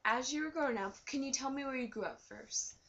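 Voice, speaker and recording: A young woman student interviewing someone for a class, asking halting questions with many fillers. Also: sits high, a bit bright, dull audio. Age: young adult.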